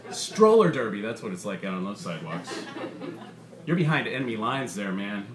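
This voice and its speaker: A man's voice: fairly low pitch, big pitch swings, normal speed, a crowd in the background, voice pushed down. A man voicing a bitter, sardonic robot stand-up comedian with a profane, punchy, menacing delivery. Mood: ranting. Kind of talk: stand-up comedy routine in a short story reading. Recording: roomy bar with faint background noise.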